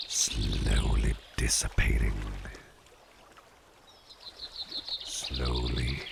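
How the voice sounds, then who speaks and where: A man playing a calm, playful businessman boss in a slow, intimate ASMR roleplay, speaking softly and soothingly, often close to a whisper, with a gravelly voice. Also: background noise, full character voice. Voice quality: very deep, gravelly. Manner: soft, soothing, unhurried, often dropping near a whisper. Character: calm, playful businessman boss hypnotizing his employee with a pendant.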